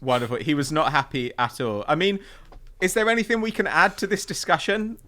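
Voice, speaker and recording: Wry man hosting, bright voice. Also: on the high side, brisk. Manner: wry, sarcastic. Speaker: podcast host. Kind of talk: casual football discussion.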